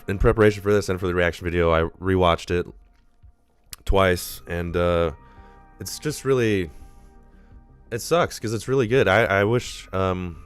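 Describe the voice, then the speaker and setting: A man's voice: sits low, clear, resonant tone. A man hosting a live movie-review stream, talking casually and rambling, a little nasal from being sick. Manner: rambling, casual, swears freely.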